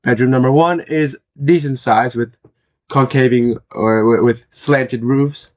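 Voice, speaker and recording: Hoarse young man giving a game tour. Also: medium pitch, fairly even intonation, measured pace, highs cut off. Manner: chatty, with frequent fillers and throat clearing. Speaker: gaming video host. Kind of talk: casual game walkthrough commentary.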